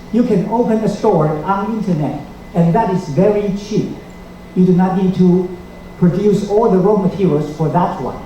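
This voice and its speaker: A middle-aged man from Taiwan, speaking English, gives a seminar lecture in a measured way, with small grammar slips. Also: high-pitched, warm tone, slight background noise. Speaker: guest lecturer. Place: lecture hall. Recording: echoey hall, mic heard from a distance.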